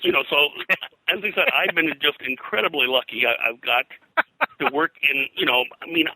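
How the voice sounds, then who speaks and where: An older man being interviewed as a historian, telling anecdotes in a halting, rambling way and often clearing his throat. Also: a little high, pushed and bright, no echo, poor audio. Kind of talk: anecdotal interview talk about old-time radio and pulps.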